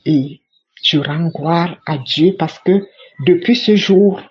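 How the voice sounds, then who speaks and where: Woman, church testimony, husky and fervent, repeats herself for emphasis, muffled lo-fi sound. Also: fairly low pitch, slow pace. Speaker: church member giving testimony. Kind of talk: spoken religious testimony. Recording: dull lo-fi recording.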